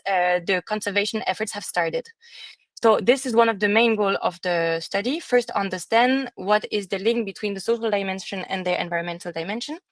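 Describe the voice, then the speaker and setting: Woman presenting research, measured with fillers. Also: mid-range voice, dry recording. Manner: measured, with frequent fillers and restarts. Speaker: student presenter. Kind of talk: academic conference presentation. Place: conference room.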